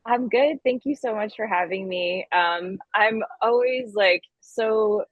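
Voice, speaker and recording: A woman's voice: medium pitch, takes it slowly, no room sound, dull audio. A woman in her thirties from Connecticut chatting informally as a guest in a casual interview. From Connecticut, US East Coast. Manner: informal, conversational. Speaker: podcast guest, event planner.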